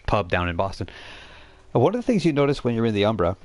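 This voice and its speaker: A man running a tabletop game as game master, narrating off the cuff and explaining in a halting, self-correcting way. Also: lowish voice, sing-song, a little mellow.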